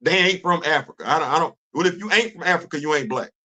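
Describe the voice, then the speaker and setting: Animated middle-aged man, restless commentary. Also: a little high, fast. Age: 50s. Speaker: host of a commentary livestream. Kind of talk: casual livestream commentary. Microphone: phone.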